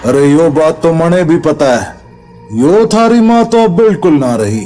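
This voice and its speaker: Man acting a slow-drawling, sly rustic villager. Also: fairly high pitch, lively intonation, mellow, faint music behind. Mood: taunting, bold. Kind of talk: Hindi horror audio drama acting.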